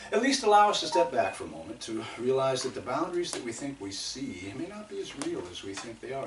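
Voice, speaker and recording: An older man gives a live spoken-word poetry reading in a measured, rhythmic way. His pitch moves widely as he leans on key phrases. The recording is echoey and picked up from a distance, with some background noise. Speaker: poet reading own work. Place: indoor live poetry reading, room echo. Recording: roomy, noisy recording from a distance.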